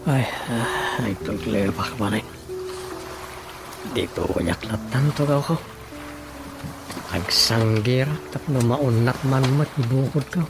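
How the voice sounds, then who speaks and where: A man's voice: mid-range voice, measured pace, pauses often, music in the background, lightly acted. A man acting a comic, flustered village chairman in an Ilocano radio drama, muttering and exclaiming to himself. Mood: musing, grumbling.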